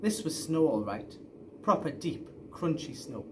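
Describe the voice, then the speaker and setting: A man's voice: fairly high pitch, big pitch swings, measured pace, background noise. A man reading a children's story aloud expressively, as a storyteller. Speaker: story reader.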